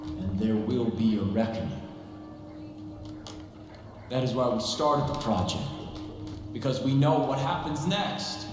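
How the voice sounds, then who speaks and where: An actor playing a calm, menacing cult preacher in a video game, speaking slowly and solemnly like a prophet giving a sermon. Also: male voice, medium pitch, lightly acted. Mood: ominous, solemn. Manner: slow, solemn, prophetic delivery. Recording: echoey church-like hall, music underneath.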